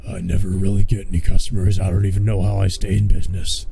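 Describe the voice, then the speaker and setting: Man doing a gruff, raspy old food vendor. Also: deep voice, level tone, fast talker, dark tone, faint music behind. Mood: grumbling. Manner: blunt, grumbling. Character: gruff, rude old man running a street food stand.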